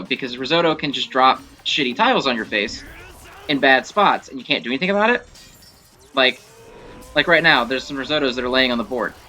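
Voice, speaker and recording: A man giving casual gameplay commentary, thinking aloud in a halting, self-correcting way as he explains things. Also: medium pitch, bright, forceful tone. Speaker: gaming video host. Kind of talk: casual rambling game commentary.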